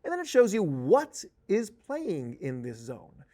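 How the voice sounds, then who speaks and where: A man giving an informal, conversational software tutorial, explaining things step by step as an instructor. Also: sits high, wildly swooping pitch, a little slow. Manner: explanatory, informal, uses spoken examples. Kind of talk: conversational screen-share tutorial.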